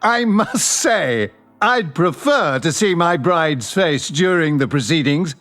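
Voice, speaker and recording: Eager, high-voiced bridegroom. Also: male voice, animated, slow pace, a bit bright, soft background music. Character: younger Alec, eager, besotted bridegroom, pedantic and playful. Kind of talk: audio drama dialogue.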